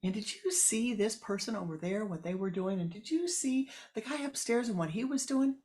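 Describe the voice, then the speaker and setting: A storyteller voicing a fretful woman consumed by criticism, complaining in a shrill, aggrieved way. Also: normal speed, vocal fry.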